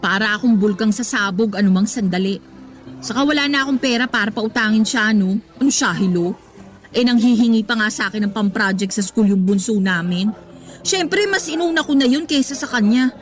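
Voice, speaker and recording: A woman's voice: a little high, a little fast, soft background music, close to an everyday voice. An actress with a Filipino accent playing a dramatic, exasperated young breadwinner girlfriend who vents emphatically in a radio drama. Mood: indignant. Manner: dramatic, emphatic, slangy venting. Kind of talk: Tagalog radio drama acting.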